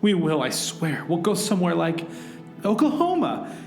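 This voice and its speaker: A man acts out an earnest hero telling his own adventure in a parody radio play. His delivery is overwrought and theatrical, swinging widely in pitch. The sound is echoey, with music playing clearly underneath. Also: wildly swooping pitch, normal speed, a little mellow, exaggerated voice. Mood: anguished. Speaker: narrator and lead actor in a radio play. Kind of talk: melodramatic scripted parody radio drama. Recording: roomy echo, music underneath.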